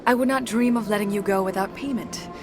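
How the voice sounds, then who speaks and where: An actress playing a calm, formal alien woman elder who speaks solemnly, with game music behind. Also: medium pitch, fast, natural voice. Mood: serene solemn.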